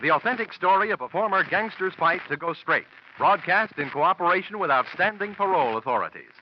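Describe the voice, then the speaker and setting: A man's voice: sits high, animated, a little fast. A man with an American accent reading a scripted promo as a 1940s radio network announcer. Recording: muffled vintage radio with hiss.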